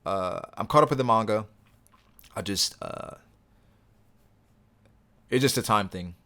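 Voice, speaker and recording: Low-voiced young man chatting on stream. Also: a little slow. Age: young adult. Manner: relaxed, rambling, reads viewer comments aloud. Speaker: livestream host reading chat. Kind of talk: casual livestream chat.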